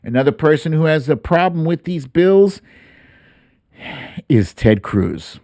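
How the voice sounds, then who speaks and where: Man, middle-aged, American accent, blunt commentator, casual and emphatic, very lively pitch, dry close-mic sound. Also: unhurried, no room sound. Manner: blunt, emphatic, with rhetorical questions and repetition for emphasis. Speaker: host of a live political commentary show. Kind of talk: casual opinionated news commentary. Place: small indoor home studio. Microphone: close boom-arm studio microphone, headphones.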